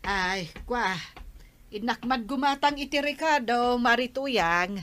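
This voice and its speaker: A woman with an Ilocano accent acting an expressive, indignant village wife in a comedy drama. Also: on the high side, takes it slowly. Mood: animated, complaining.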